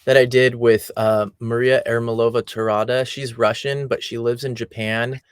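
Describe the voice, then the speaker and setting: An American man hosting an interview on a remote call, relaxed and conversational with frequent ums. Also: mid-range voice, normal speed, smooth, no room sound, studio quality. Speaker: podcast host. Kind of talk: casual interview.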